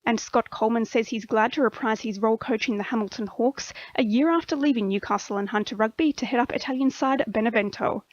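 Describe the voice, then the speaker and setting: An Australian woman presents a scripted radio sports bulletin. Her voice is slightly high, recorded very dry with a somewhat muffled, lo-fi tone. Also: fast talker, hardly any pauses, dry recording. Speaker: sports news reader. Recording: dull lo-fi radio audio.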